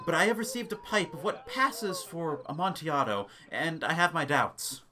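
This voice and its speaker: A man voicing a sly, vengeful nobleman who coaxes with flattery and feigned concern in a story reading. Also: a little high, moderate pace, pitched above the performer's own voice. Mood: jovial flattery. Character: sly, falsely friendly vengeful nobleman, coaxing his victim.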